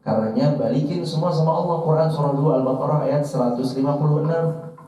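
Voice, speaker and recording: Mellow-voiced male preacher in echoey room. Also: fairly high pitch, quick pace. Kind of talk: sermon to an audience, quoting and translating Arabic scripture. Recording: roomy echo, distant mic, slightly dull.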